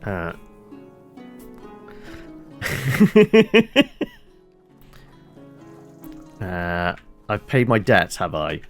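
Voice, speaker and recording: A man's voice: low-pitched, slow pace, a bit bright, soft background music. A British man giving casual, chatty live commentary as he plays a game, with wry asides. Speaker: game streamer.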